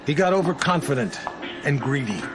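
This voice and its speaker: An actor playing a composed conspirator in a video game, speaking in a measured, self-assured way. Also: male voice, fairly high pitch, lively intonation, noisy background, natural voice. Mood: composed, smug. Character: composed male conspirator, coolly recounting a kill. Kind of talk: video game cutscene acting. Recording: music behind the voice.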